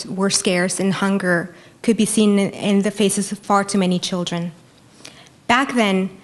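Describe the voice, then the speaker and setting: A woman from Peru giving a prepared debate speech as a debater, earnest and emphatic, stressing key phrases. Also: medium pitch. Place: university lecture hall.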